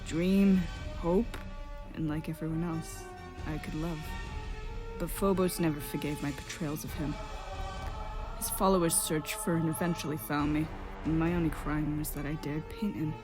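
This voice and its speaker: Casting: melancholy exiled painter played by a woman, low measured voice, wistful storyteller, instrumental music underneath. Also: steady tone, close to an everyday voice. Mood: wistful storytelling. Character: exiled male court painter, centuries old, melancholy and wistful. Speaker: voice actor in a fan dub. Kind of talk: dramatic storytelling monologue. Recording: music behind the voice.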